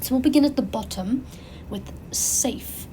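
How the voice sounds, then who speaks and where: Raspy, congested woman chatting. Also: a little high, unhurried, slight background noise. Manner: rambling, animated, frequent fillers and restarts. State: has a cold, congested. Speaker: channel host. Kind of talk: casual chatty commentary.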